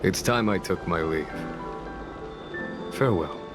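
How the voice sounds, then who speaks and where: An actor playing a calm, wise general in a video game, with measured, formal, unhurried delivery. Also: male voice, low-pitched. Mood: relaxed, courteous. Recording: music under the voice.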